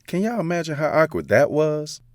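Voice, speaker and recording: A man's voice: fairly high pitch, very animated, fairly quick, no room sound. A man narrating a first-person romance story in a casual, confiding, slangy way. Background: faint background music.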